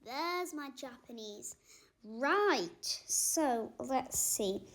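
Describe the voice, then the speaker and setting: A woman's voice: high voice, animated, very slow, background noise. A woman hosting a casual tech tutorial, chatty and playful, wandering into asides as she walks through apps.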